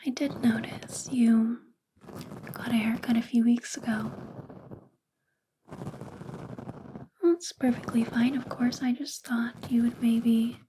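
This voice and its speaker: A woman playing a possessive, controlling female boss in a soft-spoken, whispery ASMR roleplay, coaxing and intimate. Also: on the high side, a little hiss or hum, lightly acted. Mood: softly probing. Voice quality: breathy, whispery.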